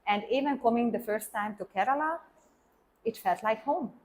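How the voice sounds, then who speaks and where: A woman's voice: on the high side, moderate pace, low-quality recording. A middle-aged woman from Hungary with a Hungarian accent, a German teacher chatting as a podcast guest, laughing often and phrasing things in a slightly non-native way. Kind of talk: casual interview chat. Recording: faint background noise.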